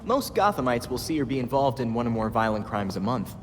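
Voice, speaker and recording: A man's voice: medium pitch, very animated, a little fast, in a large hall, slight background noise, natural voice. A man playing a chatty, geeky young sidekick in a video game, matter-of-fact in delivery. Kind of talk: video game cutscene acting.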